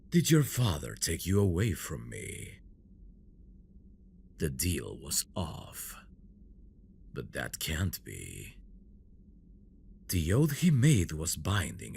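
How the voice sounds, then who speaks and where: A man playing an obsessive, menacing dragon-blooded prince in an ASMR fantasy roleplay, speaking in a brooding, gravelly voice with possessive endearments. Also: darkish tone, slight background noise, full character voice. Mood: bitter, wounded. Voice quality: deep, gravelly. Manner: slow, brooding, menacing endearments, dropping into whispers. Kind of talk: ASMR roleplay, dramatic monologue.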